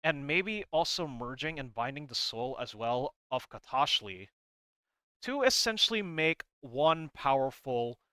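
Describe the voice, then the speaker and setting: A man's voice: mid-range voice, big pitch swings, unhurried, bright, forceful tone, smooth, no echo. A man hosting a casual lore breakdown, talking informally and hesitantly, rambling as he explains and sometimes reading aloud. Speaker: video host explaining game lore. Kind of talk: casual commentary on a comic, reading parts aloud.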